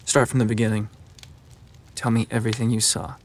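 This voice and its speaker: An actor playing a skeptical, impatient ruler in a fantasy audio drama, with a clipped, commanding way of talking. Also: male voice, on the low side, little pitch movement, brisk, noisy background, natural voice. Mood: curt, demanding. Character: curt, skeptical male ruler addressed as Archseer.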